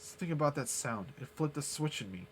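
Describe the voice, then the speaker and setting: A man's voice: a little high, animated, quick pace, a little hiss or hum. A man live-streaming a spooky visual novel, reading its narration aloud dramatically and dropping casual, sweary asides. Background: game music.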